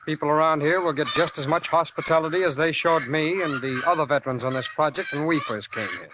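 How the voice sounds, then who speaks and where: An actor playing a bitter, defiant war veteran turned farmer in 1940s radio drama style, blunt, combative and folksy. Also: male voice, fairly high pitch, fast talker, lightly acted. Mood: curt, hostile. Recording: noisy old-time radio recording.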